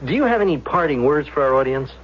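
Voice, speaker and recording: An actor playing a polished, wry television talk show host in a 1970s radio drama. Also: male voice, a little high, animated, fast. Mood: smooth, wry. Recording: muffled old radio recording.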